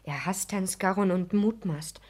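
An actress in a 1960s German radio play, playing a naive, anxious young wife who yearns for romance, speaking earnestly and breathlessly. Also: female voice, mid-range voice, unhurried, a little mellow, breathy edge.

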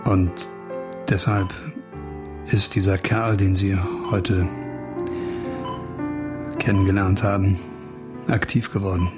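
Hard-boiled investigator over music. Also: male voice, sits low, flat delivery, slow pace, pauses often. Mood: subdued, tender. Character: hard-boiled male doctor turned investigator, ruthless, remorseful. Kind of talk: German crime radio drama acting. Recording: music bed under dialogue.

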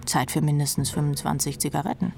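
Low, gravelly, sardonic rock drummer woman. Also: steady tone, fast talker, dark tone, a little hiss or hum. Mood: sardonic, murmuring. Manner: sardonic, wry, confiding, often murmured. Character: jaded, sardonic female rock drummer, chain-smoking. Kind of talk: radio drama inner monologue. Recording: music behind the voice.

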